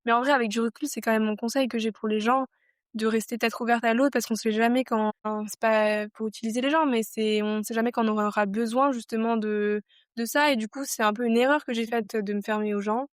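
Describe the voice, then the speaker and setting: 20-something french woman on a podcast, thinking out loud, lots of euh, dry mic. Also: a little high, fairly even intonation, a little fast, no room sound. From France. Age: 20s. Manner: reflective, with many fillers and restarts. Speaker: podcast guest. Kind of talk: casual podcast interview.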